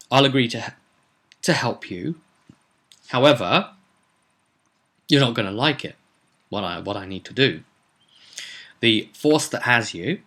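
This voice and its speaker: A man plays a reluctant blood mage lord in a tabletop fantasy game. His voice is fairly low and sharp, and he speaks gravely and haltingly, as if wary of giving aid. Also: heavily acted.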